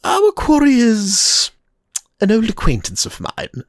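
A man voicing a suave, mocking foreign nobleman with a smooth, sonorous, faintly amused manner. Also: high voice, huge pitch swings, slow, dry recording, clean studio sound, pitched above the performer's own voice, heavily acted.

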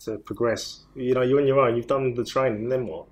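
A man's voice: medium pitch, fairly even intonation, a little fast. A man, a guest on an interview, speaking bluntly and plainly. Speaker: guest, property investor. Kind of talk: casual interview chat.

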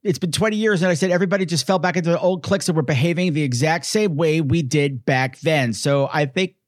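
A man co-hosting a casual film discussion podcast, talking in an animated, emphatic way. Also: fairly high pitch, fast talker, no room sound, clean studio sound. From Long Island, New York. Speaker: podcast co-host.

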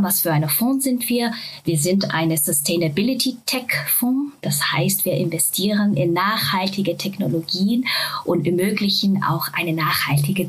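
A woman from Japan with a Japanese accent, a venture capital partner speaking halting German full of fillers and English words in a casual interview. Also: fairly low pitch, husky.

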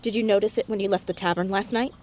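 A woman's voice: mid-range voice, fairly even intonation, quick pace, a little mellow, lightly acted. A woman playing an earnest, inquisitive fourteen-year-old girl amateur detective in a naturalistic audio drama.

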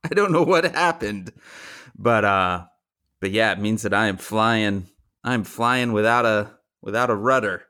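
A man co-hosting a podcast with a deep, just-woken, talked-out voice, telling rambling, self-deprecating comic anecdotes casually. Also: a little slow, pushed and bright. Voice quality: deeper than his usual, morning voice. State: just woke, throat tired from talking. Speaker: podcast co-host.

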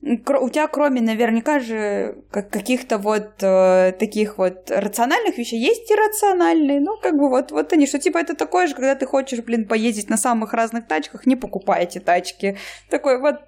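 Lively rambling woman on a podcast. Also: fairly high pitch, fairly quick. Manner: lively, rambling, laughs often, restarts sentences. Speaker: podcast co-host. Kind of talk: casual podcast chat.

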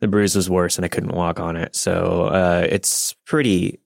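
A man's voice: deep voice, flat delivery, measured pace, gravelly, dry recording, clean studio sound. A man in his thirties chatting casually as a podcast host. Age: 30s. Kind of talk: casual chat.

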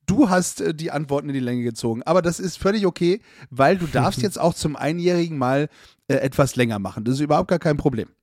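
A man's voice: a little high, lively intonation, fast talker, hardly any pauses, darkish tone, no echo, clean studio sound. A man interviewing the host, teasing him and hesitating with fillers. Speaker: guest interviewer. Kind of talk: casual conversation.

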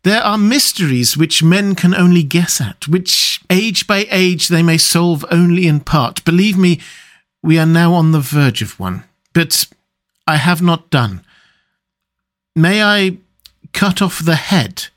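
A man's voice: fairly high pitch, expressive, a bit bright, pitched above the performer's own voice. Guy doing a stern but kind old professor for an audiobook, solemn formal talk, voice pushed up. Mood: grave, measured. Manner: solemn, earnest, deliberate formal phrasing. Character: grave, stern, compassionate old foreign professor, male. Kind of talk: dramatic audiobook character reading.

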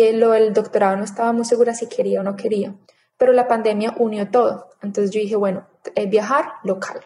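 A woman in her thirties talks through her story in a relaxed interview. Her voice is mellow and warm, at an everyday pitch, and she slips into little quoted asides of what she thought back then. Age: 30s. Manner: chatty, animated retelling with quoted inner thoughts. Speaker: interview guest.

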